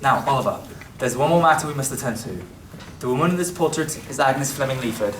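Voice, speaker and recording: A man acting a stern but kindly well-to-do gentleman in an amateur radio play, with a formal, declamatory delivery. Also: medium pitch, animated, quick pace, lightly acted. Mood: gentle, explaining. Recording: echoey hall from far off, faint noise.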